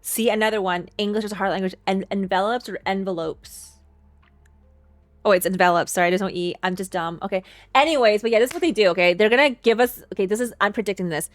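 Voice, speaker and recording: A woman's voice: medium pitch, fast, dry recording. A woman streamer giving casual, playful and wry reaction commentary on a game, close to the microphone. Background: faint game music.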